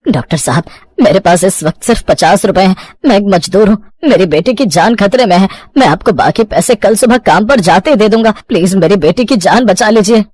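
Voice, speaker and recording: A man's voice: high voice, lively intonation, a little fast, a little mellow, dry recording. An actor voicing an earnest, worried poor labourer father who pleads and reassures in a cartoon story. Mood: desperate.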